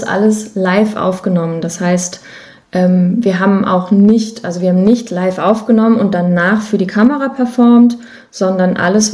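A woman's voice: medium pitch, dark tone. A woman talking as an interview guest in a casual podcast, telling stories in a chatty, informal way. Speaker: interview guest, musician and songwriter.

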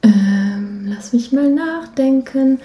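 A woman's voice: high-pitched, lively intonation, slow, dark tone, soft background music. A young woman chatting casually as an interview guest, enthusiastic and emphatic in the way she talks. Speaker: interview guest, musician and voice actor.

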